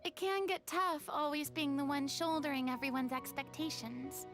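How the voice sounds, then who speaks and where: An actress playing a high-spirited, slightly dramatic young woman with an emphatic manner. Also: fairly even intonation, moderate pace, fairly bright tone, faint music behind. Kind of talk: video game voice acting.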